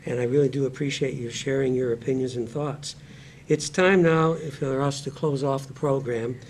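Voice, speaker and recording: A man hosting a panel discussion, speaking in an earnest, deliberate way and repeating phrases for emphasis. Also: medium pitch, steady tone, a little hiss or hum. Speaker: host and discussion moderator.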